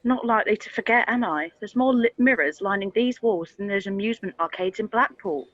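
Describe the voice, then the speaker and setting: A woman's voice: medium pitch, fairly quick, a bit bright, no echo, lo-fi sound, close to an everyday voice. A woman playing a cheery, slightly dim waitress in a comic radio play. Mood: chatty.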